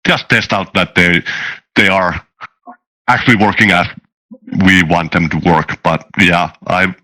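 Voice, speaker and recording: A man in a casual discussion, explaining things carefully and haltingly as a developer on a panel. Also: low-pitched, sharp tone, low-quality recording.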